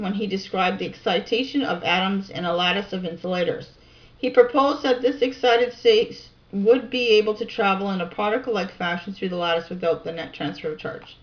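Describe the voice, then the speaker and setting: A woman reading reference text aloud in a deliberate, informal way, with casual musing asides, on a home recording. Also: medium pitch, dull audio. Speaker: amateur video researcher and narrator.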